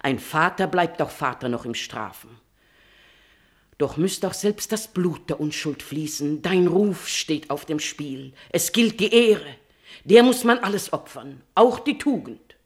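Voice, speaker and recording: A woman's voice: low-pitched, expressive, a little slow. An actress playing a queen's devoted and scheming confidante in a classical tragedy, declaiming verse in an emphatic, persuasive manner. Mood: urgent persuasion. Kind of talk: declamatory classical German stage verse acting.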